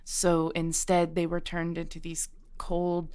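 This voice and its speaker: A woman playing a patient, knowing witch shopkeeper, centuries old, in an audio roleplay, with a conversational, pausing delivery. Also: on the low side, monotone, a little mellow, clean tone. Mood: calm, explaining. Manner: unhurried, pausing, sighing, conversational.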